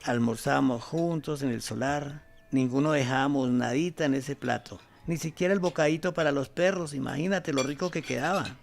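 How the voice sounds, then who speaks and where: A man's voice: medium pitch, moderate pace, gravelly, hardly any pauses, a little hiss or hum, lightly acted. An older man with a Colombian accent playing an affectionate, proud and playful grandfather in an amateur radio play. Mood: nostalgic. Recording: music under the voice. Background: music.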